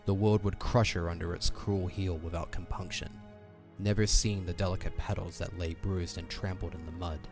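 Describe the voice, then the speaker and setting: A man's voice: sits low, fairly even intonation, moderate pace, over music. A man narrating a scripted fantasy story in a dramatic audiobook style.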